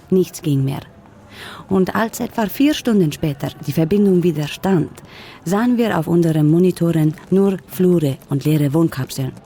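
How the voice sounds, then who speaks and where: An actress playing a composed, businesslike government official in a radio drama, measured, discreet and matter-of-fact. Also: female voice, fairly low pitch, mellow, slight background noise.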